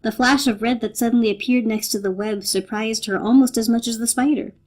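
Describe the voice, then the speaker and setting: A woman's voice: on the high side, steady tone, brisk, darkish tone. A woman reading as a storybook narrator in a dramatic, scripted style.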